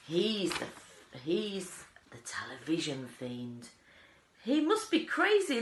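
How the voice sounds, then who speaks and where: A woman voicing excited, gossiping onlookers in a storybook crowd, exclaiming in scandalised tones. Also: low-pitched, a little hiss or hum, exaggerated voice. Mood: excited, gossipy. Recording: roomy echo.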